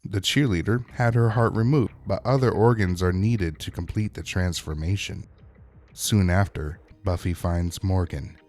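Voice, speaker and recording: A man's voice: sits low, a little slow, darkish tone. A man hosting a scripted recap and review of a TV episode, narrating in a wry, opinionated way. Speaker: host of a TV episode recap show.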